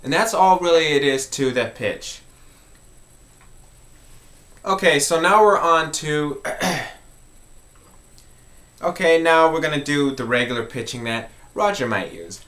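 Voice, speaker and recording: A man's voice: a little high, lively intonation, a little slow, pauses often, a bit bright. A man talking informally as he hosts an instructional music tutorial.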